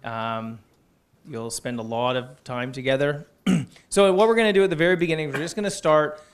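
Smooth-voiced man welcoming students. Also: a little high. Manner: relaxed, joking, halting with fillers. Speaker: academic program director. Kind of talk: casual welcome to new students.

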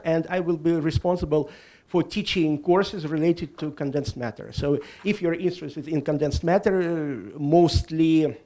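A man, a physics lecturer, giving a formal, measured self-introduction. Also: a little high, dark tone. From Russia.

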